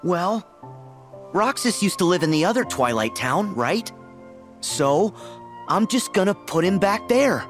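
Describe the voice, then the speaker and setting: A man's voice: sits high, very animated, measured pace, long pauses, soft background music. An actor playing an earnest, stubborn teenage boy hero in a video game, youthful and emphatic. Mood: unsure but hopeful. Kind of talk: video game cutscene acting.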